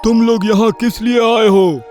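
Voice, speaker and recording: A woman voicing a stern, hot-tempered parrot king in a children's cartoon, with a booming, imperious delivery. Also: fairly even intonation, a little slow, warm tone, faint music behind, lightly acted. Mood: angry, commanding. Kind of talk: Hindi children's cartoon story acting.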